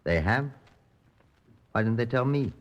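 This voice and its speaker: An actor playing a dry, determined chief inspector who narrates a vintage radio police drama in measured, understated tones. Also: male voice, medium pitch, animated, close to an everyday voice. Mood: brisk, terse. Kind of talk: golden age radio police drama acting. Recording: dull, lo-fi old radio recording.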